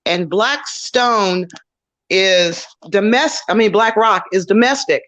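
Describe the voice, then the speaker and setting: A woman on an online panel, talking loudly and emphatically and repeating her key words. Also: mid-range voice, slow pace. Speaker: panelist on legal topics.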